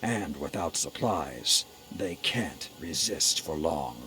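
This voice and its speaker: An actor playing a stern, battle-hardened military commander in a video game, speaking gravely and with measured authority. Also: male voice, a bass voice, lively intonation, heavily acted. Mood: resolute briefing. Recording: faint music behind. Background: game soundtrack music.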